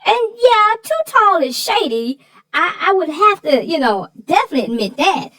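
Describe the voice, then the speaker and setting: A woman's voice: very high voice, very animated, normal speed, pushed and bright. A woman co-host talking over a children's story and giving advice, earnest and repeating words for emphasis. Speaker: co-host of a children's read-aloud show. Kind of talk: conversational story discussion and safety advice.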